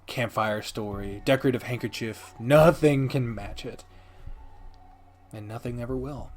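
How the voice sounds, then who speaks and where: Theatrical, emphatic man over music. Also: mid-range voice. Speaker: video creator and host. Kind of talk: casual scripted-free game review commentary.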